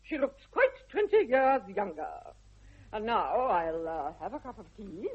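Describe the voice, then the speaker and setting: An actress playing an imperious, snobbish middle-aged English aristocratic aunt in a 1960s radio comedy, with haughty, grand emphasis. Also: female voice, medium pitch, big pitch swings, measured pace. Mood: haughty, gracious. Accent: upper-class English. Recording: slightly dull vintage radio recording.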